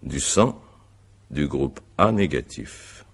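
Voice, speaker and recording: A man playing an examining magistrate in a radio mystery, courteous but insistent, with formal, deliberate phrasing. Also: very deep voice, expressive, creaky, slightly rough, full character voice. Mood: methodical, probing. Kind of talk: French radio mystery drama acting.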